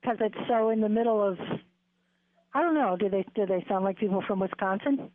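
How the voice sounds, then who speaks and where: A woman's voice: mid-range voice, fairly quick, dry recording. Woman phone caller, hesitant and chatty, tinny dry line. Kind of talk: casual phone chat.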